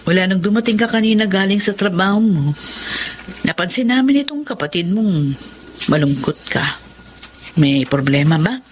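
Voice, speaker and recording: A woman's voice: mid-range voice, expressive, moderate pace, long pauses, slight background noise, natural voice. A woman acting a caring, probing mother of grown children in a Filipino radio drama. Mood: concerned.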